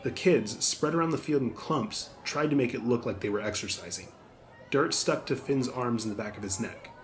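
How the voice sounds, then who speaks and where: A man's voice: mid-range voice, normal speed, slight background noise. A man reading scripted audiobook narration as the narrator.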